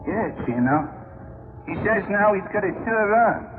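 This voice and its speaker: A man's voice: sits high, animated, unhurried. An actor playing a dim, obedient henchman in an old radio crime drama, slangy and deferential. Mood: dim, matter-of-fact. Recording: tinny old radio recording, faint noise.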